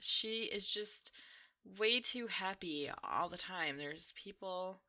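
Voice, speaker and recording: A woman's voice: fairly low pitch, expressive, takes it slowly, bright, forceful tone. A young woman chatting casually in an informal, rambling, slangy way, like commentary over gameplay. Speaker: gaming video creator. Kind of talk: casual chatty commentary while playing a game.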